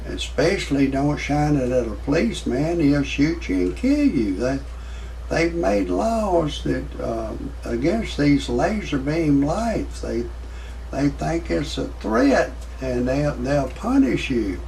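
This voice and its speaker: A man in his sixties giving rambling, off-the-cuff commentary in a folksy, repetitive, halting way, as an amateur video host. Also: a little high, sing-song, gravelly, darkish tone, far from the mic, a little hiss or hum, poor audio. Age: 60s.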